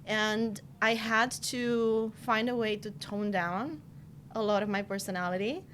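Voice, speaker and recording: A woman's voice: medium pitch, a little slow, a bit bright, a little hiss or hum. A woman in her forties from Romania, a guest talking through her career in an earnest, reflective way with lots of "you know" fillers. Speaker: podcast guest, marketing director. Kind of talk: casual interview chat.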